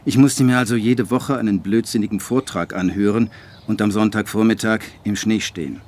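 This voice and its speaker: An actor playing a brooding postwar writer who narrates his life in a measured, dry, literary way for a radio drama. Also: fairly low pitch, fairly even intonation, darkish tone, close to an everyday voice. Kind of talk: scripted radio drama monologue.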